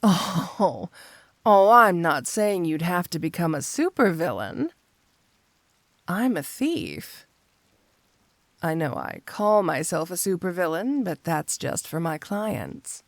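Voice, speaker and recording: A woman playing a flirty, seductive cat-burglar supervillain in an intimate ASMR roleplay, coaxing and teasing in an unhurried way. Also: lowish voice, sing-song, no room sound, exaggerated voice. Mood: persuasive, flirty.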